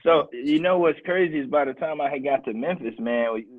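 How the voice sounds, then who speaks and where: A man's voice: a little high, a little fast, dry recording. A man from Kansas City, Missouri, casually telling his life story as a guest, with many restarts. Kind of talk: casual podcast chat. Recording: dry, tinny phone-like sound.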